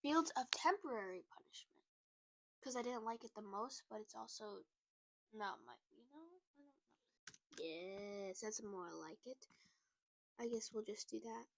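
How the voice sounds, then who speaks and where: A woman's voice: on the high side, lively intonation, unhurried, vocal fry, fairly bright tone, a little hiss or hum. Chatty young-sounding woman, a bit sick. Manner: chatty and rambling, many "like"s and restarts. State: a little sick. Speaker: book content creator. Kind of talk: casual chat to camera.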